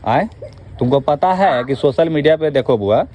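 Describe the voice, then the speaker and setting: A man's voice: on the high side, lively intonation, moderate pace, darkish tone. A man with a Bihari accent in an informal phone conversation, asking pressing questions in a colloquial way and cutting in. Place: phone call.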